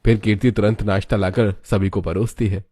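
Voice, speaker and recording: A man's voice: lowish voice, steady tone, fairly quick, dark tone, husky. A man reading scripted narration for a cartoon story.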